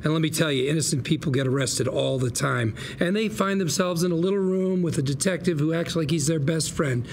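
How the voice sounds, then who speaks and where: An actor playing a glib, eager small-time lawyer at a table read, pitching hard in salesman patter with small hesitations. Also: fairly quick, close to an everyday voice. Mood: persuasive. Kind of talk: acted dialogue at a table read. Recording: noticeable background room noise.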